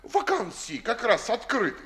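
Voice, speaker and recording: A man's voice: high-pitched, huge pitch swings, a little slow, pushed and bright, exaggerated voice. A man playing a blustering, dim, self-satisfied army colonel in a 1950s radio verse comedy, declaiming bluntly and pompously.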